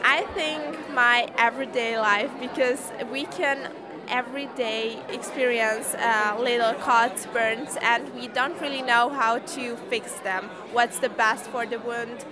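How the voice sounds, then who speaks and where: A woman's voice: on the high side, steady tone, a little slow, over music. Teen girl amid crowd chatter. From Hungary. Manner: hesitant, many fillers and restarts. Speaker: student presenting a science project. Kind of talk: casual interview. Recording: crowd noise behind.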